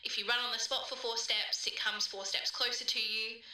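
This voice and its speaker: A woman's voice: a little high, little pitch movement, moderate pace, bright, forceful tone, far from the mic. A woman playing a student who gives a precise, academic account of an eerie theory, recorded in a roomy space away from the mic. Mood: matter-of-fact, eerie. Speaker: student interviewee.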